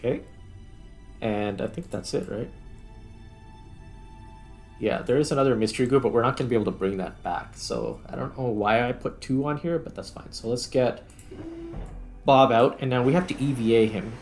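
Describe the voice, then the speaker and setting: A man's voice: mid-range voice, moderate pace, long pauses, a little mellow, faint music behind. A man giving casual gameplay commentary, thinking aloud as he plays. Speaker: gaming video host.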